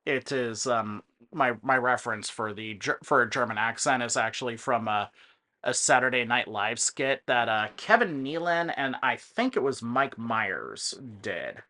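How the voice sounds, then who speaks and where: A man giving casual, digressive commentary on a video game, explaining things in a halting way. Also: medium pitch, lively intonation, pushed and bright. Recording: faint music in the background.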